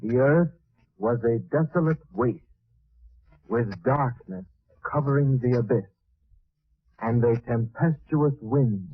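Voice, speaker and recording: An actor playing an earnest, deferential alien translator and assistant in a 1940s radio drama. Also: male voice, mid-range voice, breathy edge, dry recording. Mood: solemn reading. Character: eager, respectful alien assistant and translator to a professor. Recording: tinny band-limited vintage radio recording.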